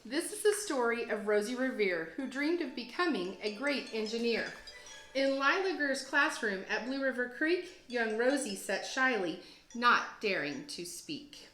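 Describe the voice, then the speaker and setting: A woman teacher reading a children's storybook aloud with expressive, deliberate storytelling phrasing. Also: on the high side. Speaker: teacher, guest read-aloud host. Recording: roomy echo, music behind. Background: storybook app music.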